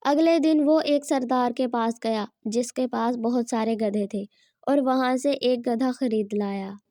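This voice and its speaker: A woman's voice: a little high, measured pace, mellow, dry recording, studio quality. A woman reading scripted narration for a children's story.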